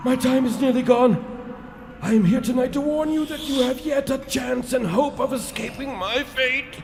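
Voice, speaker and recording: A man acting a tormented, stern ghost of a dead businessman in a live radio drama, with theatrical, drawn-out, wailing delivery. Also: extremely high pitch, creaky, soft background music. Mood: urgent warning.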